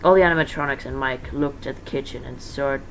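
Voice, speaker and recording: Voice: medium pitch, fairly quick, creaky, fairly bright tone. An amateur reader giving a casual dramatic reading, informal and stumbling, with self-correcting asides. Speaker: amateur fan fiction reader. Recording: noisy amateur home recording.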